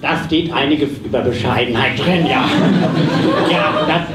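A man playing a brisk auctioneer in a live comedy audio play, chanting bids and drawing out his calls. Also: medium pitch, over loud music, close to an everyday voice. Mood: theatrical, brisk. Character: brisk theatrical male auctioneer selling off a practice. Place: small theatre stage, indoors. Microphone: stage microphone, recorded by far camera. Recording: noisy, echoey, distant live stage recording.

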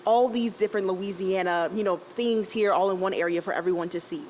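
A woman's voice: medium pitch, a little fast, a little mellow, a little hiss or hum. Bubbly New woman reporting live. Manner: bubbly, chatty, laughs often. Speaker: field reporter. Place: indoor aquarium exhibit. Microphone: handheld station microphone.

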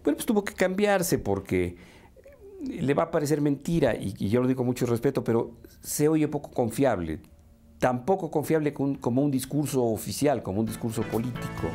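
A man's voice: mid-range voice, expressive, a little fast, dark tone. A man in his fifties with a Mexican accent, giving a reflective interview.

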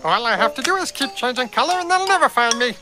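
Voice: mid-range voice, expressive, quick pace, lightly acted. An actor voicing a smug, sneaky chameleon thief with a taunting, shifty manner in a dubbed cartoon. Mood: gloating. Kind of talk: cartoon dub acting. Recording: music behind the voice.

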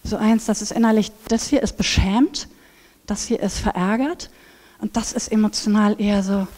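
A woman in her thirties on a headset mic, giving a lively conference talk and acting out inner voices. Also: mid-range voice, normal speed. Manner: animated, acts out inner voices. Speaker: speaker at a conference. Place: large brick hall with seated audience. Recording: reverberant hall.

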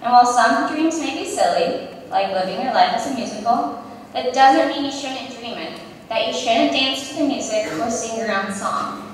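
A young woman giving a measured, uplifting commencement speech at a podium in a large hall. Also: a little high. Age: young adult. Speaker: student speaker. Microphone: podium microphone. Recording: echoey hall from far off, faint hiss.

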